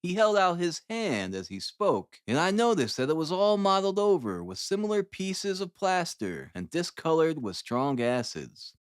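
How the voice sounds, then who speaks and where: A male audiobook narrator reads classic fiction expressively. His voice sits fairly high and swings widely in pitch. The recording is very dry. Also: sing-song, normal speed, few pauses, clear, resonant tone, no echo.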